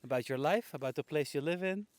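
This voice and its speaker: A man's voice: on the high side, fast, no room sound. A man hosts a casual interview podcast from a public bench outdoors. His voice is clean and resonant, and it sits dry, with hardly any room sound. He speaks in a conversational interviewing style.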